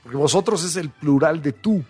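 A man's voice: fairly high pitch, lively intonation, brisk, darkish tone, husky, faint music behind. A man with a Mexican accent co-hosting a casual chat, explaining points in a thoughtful way. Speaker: co-host.

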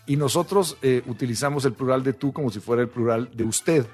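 A man's voice: mid-range voice, brisk, a little mellow, slightly rough, faint music behind. Thoughtful Mexican man explaining. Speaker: co-host. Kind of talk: casual chat.

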